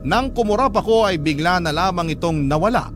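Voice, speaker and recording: A man's voice: high voice, expressive, brisk, background noise. A man playing a haunted young man who confides his story as dramatic first-person narration in a radio drama. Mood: confiding, uneasy.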